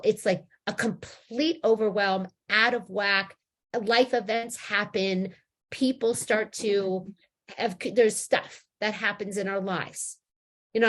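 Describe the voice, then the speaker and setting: Hoarse woman giving a rambling spiritual talk. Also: mid-range voice. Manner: rambling, with frequent restarts and "like". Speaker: spiritual teacher.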